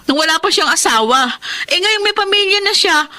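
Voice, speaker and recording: A woman's voice: very high-pitched, lively intonation, a little fast, bright, forceful tone, exaggerated voice. A woman playing a weary, outspoken young wife and mother in a Filipino drama, exasperated and pleading. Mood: indignant.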